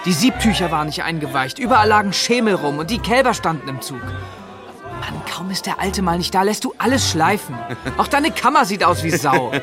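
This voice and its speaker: A man's voice: high-pitched, sing-song, a little fast. A young man acting in a German radio drama as a dutiful, stern and sullen teenage dairy farmhand with a curt way of talking. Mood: scolding. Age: young adult. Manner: curt, scolding. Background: music.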